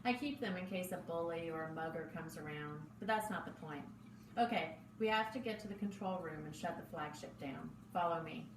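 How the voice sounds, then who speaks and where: Awkward, capable boy best friend for a story, played by a woman, lowish voice, roomy far-off mic. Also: fairly quick, lightly acted. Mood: awkward, urgent. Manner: casual, awkward. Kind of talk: story character dialogue. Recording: roomy echo, distant mic, faint noise.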